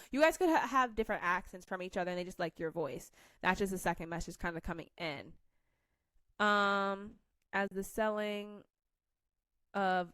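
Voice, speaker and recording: A woman's voice: mid-range voice, smooth, no room sound. A young American woman giving a casual tarot reading, rambling and correcting herself as she reads card meanings aloud. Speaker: tarot reader.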